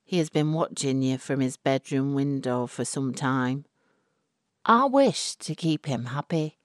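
A woman voicing a cold, scheming older matriarch who speaks with measured, genteel condescension in a story reading. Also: a bass voice, animated, no room sound, clean studio sound. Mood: measured persuasion.

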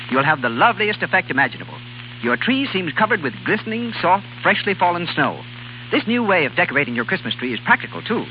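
A man announcing a scripted 1930s radio advertisement, emphatic and instructional like a salesman. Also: a little high. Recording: dull 1930s radio with faint hiss.

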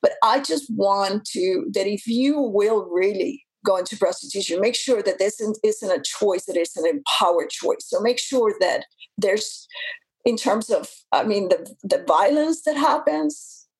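Husky earnest woman in her fifties. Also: mid-range voice, measured pace, poor audio. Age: 50s. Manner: earnest, many restarts and fillers. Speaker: guest. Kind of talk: interview talk.

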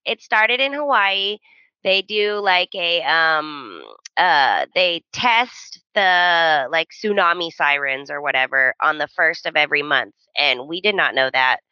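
A woman's voice: low voice, animated, measured pace, pushed and bright, clear, resonant tone, no room sound, dull audio. A woman in her thirties co-hosting a podcast, telling stories in casual chat and laughing often. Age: 30s. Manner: chatty storyteller, laughs often. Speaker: podcast co-host.

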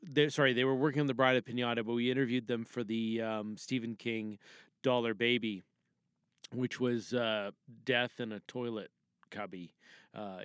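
Tipsy man rambling in a clear, dry voice. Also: medium pitch, fairly bright tone, dry recording. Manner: rambling, halting, loose. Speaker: podcast contributor. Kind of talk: unscripted casual monologue.

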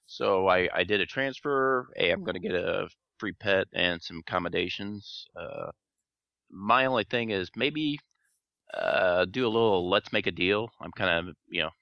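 A man chatting on a podcast roundtable, laid-back and hesitant, with many pauses and fillers. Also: sits low, creaky, dry recording, muffled recording. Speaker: podcast guest writer. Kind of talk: casual roundtable chat.

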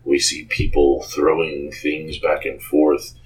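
Very deep, slow-spoken man. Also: completely flat delivery, a little mellow, poor audio. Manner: halting, measured, earnest advice-giving. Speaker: game creator and author being interviewed. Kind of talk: reflective interview answers.

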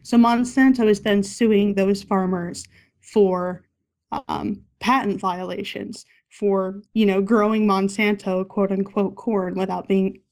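Woman asking questions, mid-pitched voice. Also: level tone, takes it slowly, a little mellow. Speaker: discussion participant asking questions. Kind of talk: casual spoken discussion.

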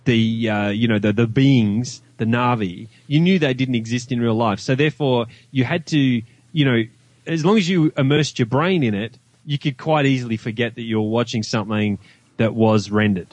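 A man's voice: mid-range voice, moderate pace, darkish tone, no echo. A man from Australia speaking as a podcast guest, chatting casually as he gives a film review. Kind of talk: casual chat.

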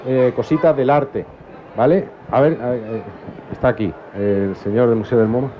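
A man's voice: medium pitch, steady tone, normal speed. A man co-hosting a live radio show, giving jokey announcer-style introductions. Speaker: radio co-host. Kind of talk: live radio comedy banter. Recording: lo-fi live recording with crowd. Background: crowd noise.

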